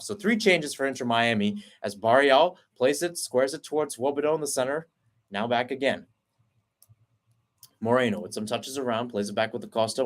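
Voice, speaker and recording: A man at a commentary mic calls a soccer match move by move. His delivery runs quick and steady through pass after pass, then climbs in pitch and energy when a chance opens. His voice sits at a plain middle pitch for a man. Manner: rapid running call, rising on chances. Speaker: play-by-play commentator. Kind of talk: live soccer play-by-play.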